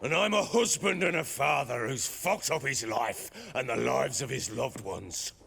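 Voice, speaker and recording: Gravelly, volatile British lord. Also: male voice, medium pitch, animated, a little slow, a bit bright, exaggerated voice. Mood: desperate, defiant. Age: middle-aged. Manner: blunt, coarse-mouthed, emphatic. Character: gruff, hot-tempered middle-aged lord, guilt-ridden husband and father. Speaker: game character. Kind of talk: dramatic video game voice acting.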